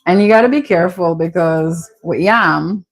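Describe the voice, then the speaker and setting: A woman's voice: fairly low pitch, moderate pace, faint music behind. A middle-aged woman with a Jamaican accent chats casually and candidly as she hosts a home cooking vlog, with a playful manner. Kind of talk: casual chatty cooking commentary. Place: home kitchen.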